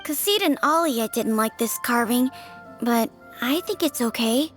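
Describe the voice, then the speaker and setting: A woman acts a young child in video game dialogue. The voice is high, sweet and frank. Faint music plays in the background. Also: a little slow, lightly acted. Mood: candid.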